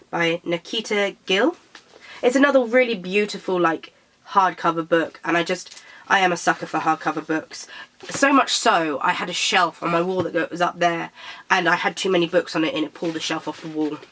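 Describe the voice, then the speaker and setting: A young woman from Britain, a little croaky after being sick, chatting casually to camera about books and tripping over her words. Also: on the low side, fairly bright tone, slight background noise. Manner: chatty, self-correcting, stumbles over titles. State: slightly croaky from illness. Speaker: book vlogger.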